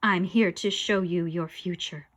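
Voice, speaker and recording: An eerie, bossy ghost girl acted by a woman for a children's storybook. The words are slow and stretched, with a commanding, spooky edge and a bright tone. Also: fairly low pitch, steady tone, voice pushed down. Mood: eerie, beckoning. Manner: spooky, drawn-out delivery. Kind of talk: children's audiobook character voice.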